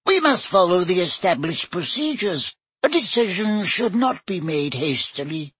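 A squeaky tiny old professor. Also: male voice, sing-song, a little slow, fairly bright tone, breathy edge, no echo, heavily acted. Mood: grave.

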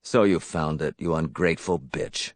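A man's voice: mid-range voice, expressive, a little slow, fairly bright tone, no room sound. A man voicing a hateful, self-pitying young man, a jilted obsessive lover in a story, bitter and accusing. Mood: cold spite. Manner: bitter, accusing, pleading, worked up.

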